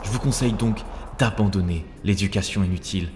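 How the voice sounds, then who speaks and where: A man's voice: sits low, a little fast, background noise, close to an everyday voice. A man voicing an earnest, rebellious young male student who speaks in a preachy, rhetorical way in a cartoon. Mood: impassioned, preachy. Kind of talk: scripted cartoon dialogue. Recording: long hall reverb, music bed.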